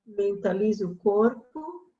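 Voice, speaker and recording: A Brazilian woman guiding a relaxation meditation as a yoga instructor, speaking calmly and soothingly with long pauses. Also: mid-range voice, muffled recording.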